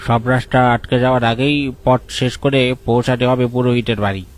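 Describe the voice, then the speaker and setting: A man playing a worried, urgent village man in an animated horror story, speaking anxiously. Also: mid-range voice, fairly quick, a little hiss or hum, natural voice.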